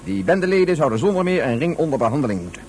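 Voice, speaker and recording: A principled, disapproving man in a radio drama. He is blunt and emphatic, his pitch moving widely. A faint buzz sits in the background. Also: very animated, rapid-fire, darkish tone, slight background noise. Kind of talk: Dutch radio drama acting.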